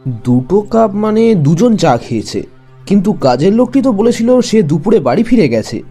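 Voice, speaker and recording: A man's voice: high voice, sing-song, moderate pace, dark tone, breathy edge, faint music behind, full character voice. A man playing a detective's earnest, puzzled companion in an audio drama. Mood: puzzled, reasoning.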